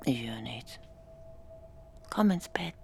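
A woman's voice: very deep voice, animated, slow, vocal fry, slight background noise, exaggerated voice. An actress playing a caring, patient young wife and mother in a naturalistic radio drama, with a soothing manner. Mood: hushed, tender. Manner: soothing, gentle.

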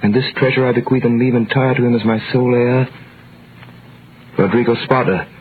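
A man's voice: mid-range voice, fairly even intonation, a little hiss or hum, natural voice. Man acting an earnest prisoner, muffled vintage radio. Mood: puzzled, intent. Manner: measured, dramatic, literary delivery. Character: wrongly imprisoned young man, devoted, earnest pupil and narrator. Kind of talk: 1930s-40s radio drama narration and acting.

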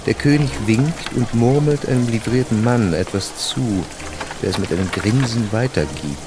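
A man's voice: mid-range voice, normal speed. A man reading scripted narration for a radio drama. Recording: music bed under the voice.

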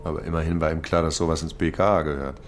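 An actor playing a weary police chief inspector who thinks cases through aloud in a radio drama. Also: male voice, very deep voice, fairly even intonation, fast talker, soft background music. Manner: thoughtful, musing, talks it through.

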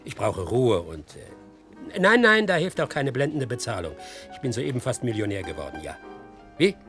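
An actor in a German radio play, playing a jaded, hard-drinking, ill-humoured middle-aged private detective with a flippant, sardonic manner. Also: male voice, medium pitch, sing-song, normal speed, fairly bright tone. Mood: weary, flirtatious. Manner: sardonic, flippant, rude wisecracking. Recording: studio radio play with music.

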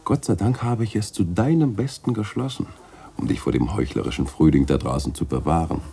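An actor playing a jovial, teasing literary critic in a German radio play, husky and theatrical, speaking in florid, ironic phrases. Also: male voice, low voice, fairly quick, dark tone. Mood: musing, ironic.

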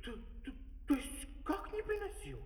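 An actor playing a flustered doctor in a comic fairy-tale opera, stuttering and indignant. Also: male voice, very high voice, wildly swooping pitch, normal speed, heavily acted. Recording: echoing hall, distant, old vinyl.

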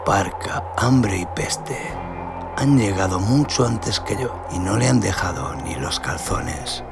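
A man narrating a scripted western horror tale in a brooding, theatrical, deliberate manner. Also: sits low, lively intonation, vocal fry. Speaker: audio story narrator. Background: cinematic sound design and music.

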